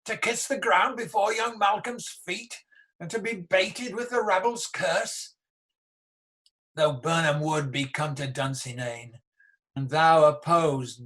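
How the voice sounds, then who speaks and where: Doomed warrior tyrant , male actor: highish, piercing voice, declamatory radio drama verse, defiant to the end. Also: slow, a bit bright. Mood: desperate defiance. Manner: declamatory, weighty verse delivery. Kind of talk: radio play Shakespeare acting. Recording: home recording over video call.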